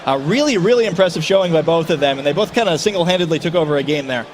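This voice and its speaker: A man giving color commentary at a gaming tournament, breaking down strategy in a quick, analytical way with frequent fillers. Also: a little high, animated, a little hiss or hum.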